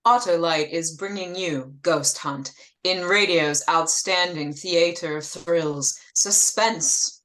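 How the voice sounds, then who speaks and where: A woman's voice: on the low side, slow pace, a little hiss or hum, rough recording. A woman playing a grave, suspenseful announcer in the style of 1940s radio. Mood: grave, dramatic. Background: loud music.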